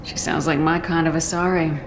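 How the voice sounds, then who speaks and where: Low-voiced, blunt female commander. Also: steady tone, a little fast, background noise. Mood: dry. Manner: terse, matter-of-fact. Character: tough, curt female military commander, blunt and dry. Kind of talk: video game voice acting.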